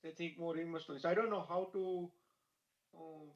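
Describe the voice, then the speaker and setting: A man asking a question on a video call, speaking in halting, uneven phrasing. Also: fairly high pitch, steady tone. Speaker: audience member asking a question. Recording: echoey dull video call audio.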